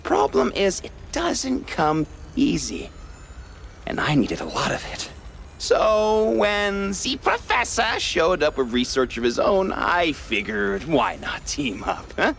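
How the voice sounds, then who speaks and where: Casting: shifty, guilt-ridden wealthy mouse, heavy vocal fry, extremely animated pitch, theatrical hesitations, noir cartoon game. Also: male voice, slow pace, a little hiss or hum, full character voice. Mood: musing. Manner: theatrical, hesitant, evasive, sighing. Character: cynical, guilt-ridden eccentric rich mouse, war veteran.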